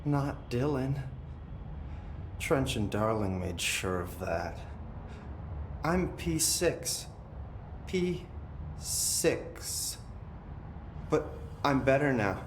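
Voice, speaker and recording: A man's voice: medium pitch, lively intonation. An actor playing an unhinged, possessed captive man in a video game, chanting cryptically with eerie detachment. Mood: eerie, detached. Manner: slow, chanting, cryptic repetition. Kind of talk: dramatic video game acting. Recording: roomy echo with clear background noise.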